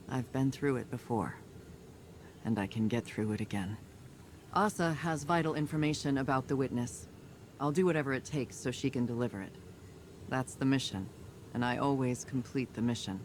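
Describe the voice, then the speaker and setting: A tough female commander from a video game, talks in terse, resolute military phrases. She sounds battle-hardened and determined, over loud noise and game ambience. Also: very deep voice, moderate pace, pauses often. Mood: grave, resolute. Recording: loud music and noisy game ambience behind.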